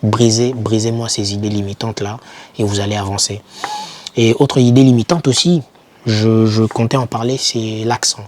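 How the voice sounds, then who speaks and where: A man's voice: on the low side, fairly even intonation, normal speed, a little mellow, slight background noise. A young man giving informal motivational advice to camera, speaking emphatically and repeating key words to encourage the listener. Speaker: YouTuber advising foreign students.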